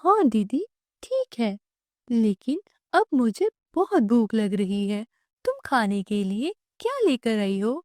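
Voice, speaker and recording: A woman's voice: a little high, sing-song, slow pace, darkish tone, no room sound, clean studio sound. A woman voicing a caring, earnest little brother in a cartoon moral story, childlike and pleading. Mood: insistent, caring.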